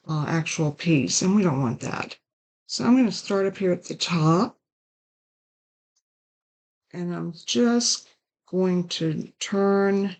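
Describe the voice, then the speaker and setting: An older woman, raspy and hoarse from a sinus infection, hosting a casual card-making tutorial at her craft desk. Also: fairly low pitch, a little mellow. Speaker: card-making channel host.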